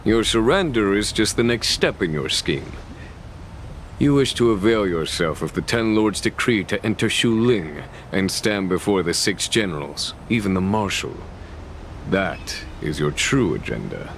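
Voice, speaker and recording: A shrewd, unruffled general from a video game. He is deep and slow, with a wry, authoritative delivery that moves expressively through each phrase. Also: lively intonation, a bit bright, a little hiss or hum. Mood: knowing, probing.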